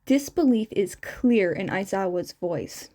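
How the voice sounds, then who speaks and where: A woman's voice: medium pitch, animated, measured pace, mellow. A woman reading fan fiction aloud as a scripted story narration. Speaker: narrator, podfic reader.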